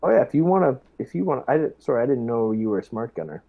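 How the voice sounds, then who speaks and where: A man speaking in character as a squad leader in a tabletop game, measured as he gives orders and briefings. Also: medium pitch, big pitch swings, gravelly. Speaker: player acting as squad lieutenant. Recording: dull, slightly lo-fi audio.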